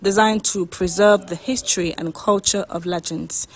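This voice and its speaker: A woman's voice: lowish voice, steady tone, moderate pace. A woman reading a scripted news voice-over as a reporter.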